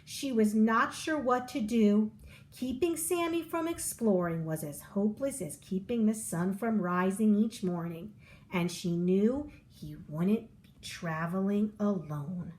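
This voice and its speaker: A woman reading a children's picture book aloud, with an expressive storyteller delivery. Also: mid-range voice, measured pace, low-quality recording.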